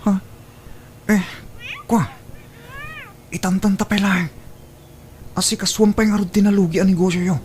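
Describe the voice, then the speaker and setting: A woman's voice: mid-range voice, steady tone, normal speed, long pauses, darkish tone, a little hiss or hum, lightly acted. A woman acting an evasive, jealous lover in an audio drama, with a Filipino accent. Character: Boni, evasive, jealous lover short of money. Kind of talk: Ilocano radio drama acting.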